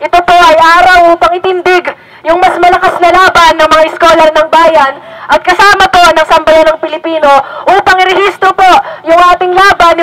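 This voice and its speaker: A woman's voice: extremely high pitch, poor audio. A woman from the Philippines giving an earnest, formal speech at an outdoor protest rally, polite and declamatory. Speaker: student activist speaker.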